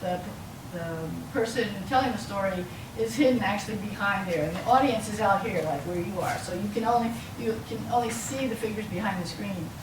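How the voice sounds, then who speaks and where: Female academic lecturer, halting and explanatory, recorded from a distance in a roomy space with clear background noise. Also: mid-range voice. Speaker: university lecturer. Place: lecture room, mic some way off.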